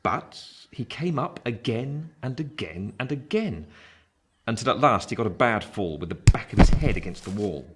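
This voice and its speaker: An actor playing a grown man recalling his boyhood, narrating a literary radio play in a measured, wry way. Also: medium pitch, lively intonation, a little hiss or hum, natural voice. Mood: animated, wry. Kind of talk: scripted first-person storybook narration.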